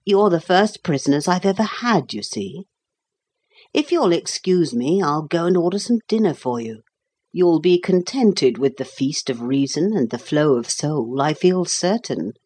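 A woman voicing a polite, cordial, self-important gentleman jailer with literary airs in a storybook. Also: low voice, expressive, measured pace, husky, full character voice. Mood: chatty, self-satisfied.

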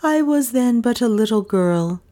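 A woman's voice: a little high, lively intonation, a little slow, mellow, pitched above the performer's own voice. A woman voicing a plain-spoken, wistful young mother from a village in a storybook.

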